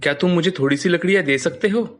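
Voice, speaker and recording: A man's voice: high voice, quick pace, close to an everyday voice. A man playing a friendly, hardworking father who drives a rickshaw in a storybook cartoon. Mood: earnest. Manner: polite, earnest.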